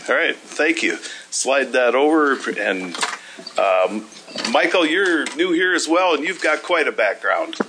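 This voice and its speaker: Man, committee chairman, bright tone, folksy, easygoing, filler pauses, hearing room, desk mic. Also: mid-range voice, sing-song, a little hiss or hum.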